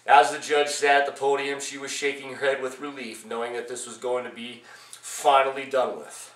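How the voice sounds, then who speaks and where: A man reading his own personal memoir aloud as its author, in a halting way with repeated phrases. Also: medium pitch, flat delivery, a bit bright. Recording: echoey room, distant from mic.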